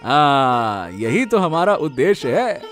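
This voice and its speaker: A man's voice: a little high, slow pace, soft background music, full character voice. A man voicing a humble, kind-hearted village vegetable seller in a cartoon story, speaking earnestly. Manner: earnest, expressive. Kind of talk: scripted cartoon story acting.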